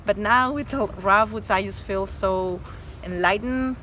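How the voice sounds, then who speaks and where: A woman's voice: mid-range voice, a little slow, bright, forceful tone, slight background noise, poor audio. A woman from Mexico with a Mexican Spanish accent, a doctor talking earnestly and emphatically as a guest, with many fillers and restarts. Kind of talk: casual interview chat.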